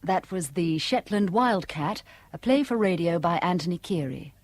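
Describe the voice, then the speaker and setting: A female announcer reading out radio play credits in a measured, precise manner. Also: fairly low pitch, lively intonation, no echo. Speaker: continuity announcer. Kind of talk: formal broadcast announcing.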